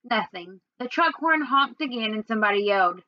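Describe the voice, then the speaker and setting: High-pitched young woman reading aloud. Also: animated, a little fast, dry recording, muffled recording. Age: young adult. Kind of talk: read-aloud of a children's novel. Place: small indoor room at home.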